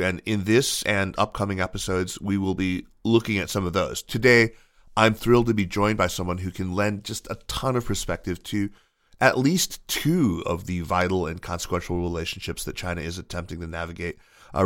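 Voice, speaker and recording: A man hosting a podcast interview, introducing his guest in a measured, articulate way with the odd filler and restart. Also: low voice, fairly bright tone, no room sound, studio quality. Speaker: podcast host. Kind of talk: scripted intro then interview.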